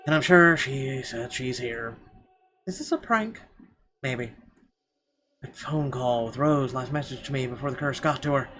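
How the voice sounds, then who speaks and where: A man's voice: medium pitch, a little slow, long pauses, bright, forceful tone. Man reading game narration over background noise. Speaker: video game let's player. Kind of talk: reading visual novel narration aloud in first person. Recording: clearly noisy background.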